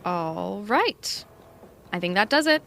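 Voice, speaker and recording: A woman playing a brisk, businesslike production assistant, polite but curt, in an audio comedy-drama. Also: medium pitch, big pitch swings, creaky, a bit bright, faint music behind, heavily acted.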